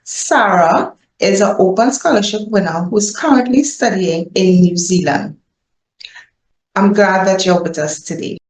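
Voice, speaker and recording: A woman's voice: medium pitch, unhurried, a little mellow, echoey room, low-quality recording. A woman host giving an enthusiastic, formal scripted introduction. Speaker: host of the foundation series.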